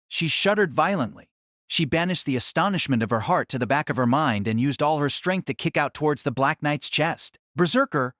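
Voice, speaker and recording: A man narrating a scripted action story as an audiobook reader, with a measured, formal, literary delivery. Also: medium pitch, a bit bright, no echo, clean studio sound.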